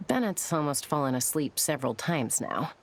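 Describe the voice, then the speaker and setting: A woman voicing a composed, caring young woman with a calm, knowing, gently teasing manner in an animated game. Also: sits low, a little hiss or hum, full character voice. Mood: wry.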